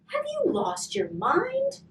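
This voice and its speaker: A woman's voice: very high voice, wildly swooping pitch, slow, voice pushed up, exaggerated voice. A woman voicing an exasperated but sympathetic mother in a children's storybook. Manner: scolding then soothing. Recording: roomy, distant mic, faint noise.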